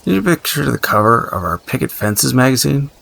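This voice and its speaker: Man playing a gravelly, blunt tinkerer. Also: mid-range voice, big pitch swings, fairly bright tone, exaggerated voice. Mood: uneasy. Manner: halting, gruffly casual, profane. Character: practical, blunt, uneasy male tinkerer and survivor. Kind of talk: audio drama dialogue, naturalistic.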